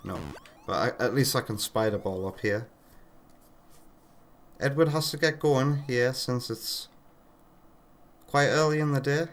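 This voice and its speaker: A man's voice: medium pitch, unhurried, long pauses. A British man from the UK, chatting casually on a live game stream, reading out chat messages and muttering at the game. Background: game music.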